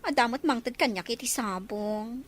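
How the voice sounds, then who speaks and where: A woman's voice: a little high, moderate pace, natural voice. A woman playing a wistful, teasing young single coworker in an Ilocano romance drama. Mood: envious teasing.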